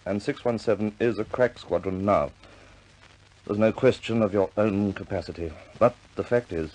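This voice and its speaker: An actor playing a middle-aged senior RAF commander in a 1950s British war film, speaking in a measured, clipped British accent with firm but kindly authority. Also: male voice, fairly low pitch, darkish tone, natural voice, low-quality recording. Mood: kindly, regretful.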